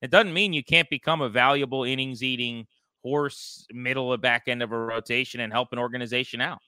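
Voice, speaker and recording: A man's voice: medium pitch, steady tone, brisk, sharp tone, clear, resonant tone, no echo. A man co-hosting a sports podcast, giving opinionated, discursive commentary in a conversational way.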